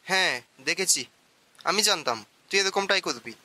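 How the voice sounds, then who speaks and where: A man voicing an excitable, chatty young news crew sidekick in a scripted cartoon. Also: high voice, normal speed, dry recording, heavily acted. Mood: excited, chummy.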